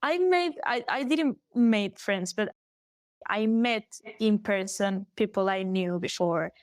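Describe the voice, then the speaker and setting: A young woman from Galicia with a Spanish accent, a native Galician speaker, chatting as an interview guest, animated and laughing often. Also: mid-range voice, slow, clear, resonant tone, no room sound, studio quality. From Galicia, Spain. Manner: animated, laughs often, restarts her sentences. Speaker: guest singer. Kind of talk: casual interview chat.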